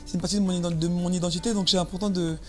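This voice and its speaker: A woman's voice: fairly low pitch, fairly even intonation, rapid-fire, faint music behind. A woman of about thirty from Paris, speaking casually as an interview guest, often repeating words as she searches for phrasing. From Paris, France.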